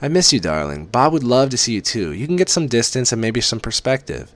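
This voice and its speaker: A man's voice: mid-range voice, very animated, fairly quick, dry recording, pitched above the performer's own voice, lightly acted. A man reading aloud and voicing a doting, chatty middle-aged mother who fusses affectionately. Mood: coaxing. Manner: fussy, affectionate, lilting.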